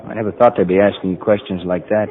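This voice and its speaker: An actor playing a gentle, apologetic husband in a radio drama, mild and hesitant as he soothes his wife. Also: deep voice, flat delivery, fast talker, slight background noise, natural voice. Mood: sheepish, gentle. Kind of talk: mid-century American radio drama acting. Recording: muffled old radio recording.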